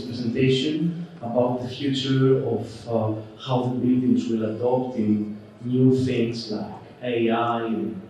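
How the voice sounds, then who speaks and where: A man with a Greek accent giving a relaxed, conversational conference keynote, dotted with "okay" and "uh". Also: medium pitch, slight background noise. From Greece. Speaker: keynote speaker. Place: conference hall. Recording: roomy, distant, dull hall recording.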